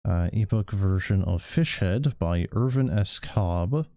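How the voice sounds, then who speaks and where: A man's voice: low voice, lively intonation, slow pace, mellow, dry recording, studio quality. A man doing casual game stream commentary in a hesitant way, stammering and repeating words as he goes. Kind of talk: casual stream commentary, sometimes reading text aloud.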